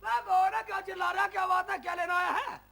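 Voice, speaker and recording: A man's voice: extremely high pitch, fairly even intonation, quick pace, vocal fry, sharp tone, full character voice. A man playing a boastful, foolish village husband in a broad rustic comedy skit, bickering and throwing insults. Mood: annoyed, scornful. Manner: blustering, bickering, insult-laden. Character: loud, boastful, foolish village husband, quick to take offence.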